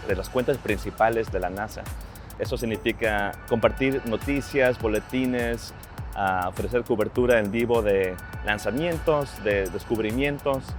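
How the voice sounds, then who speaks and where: A man in his thirties, born in Ecuador, speaking earnestly about his work and family in a personal interview, often restarting his phrases. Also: lowish voice. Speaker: social media strategist. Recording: clear music bed behind voice. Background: music.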